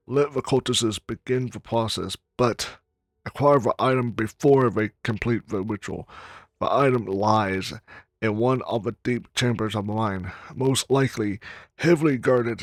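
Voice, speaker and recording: A man's voice: mid-range voice, no echo, clean studio sound. A man playing through a video game, reading its dialogue and letters aloud. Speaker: gamer recording a playthrough.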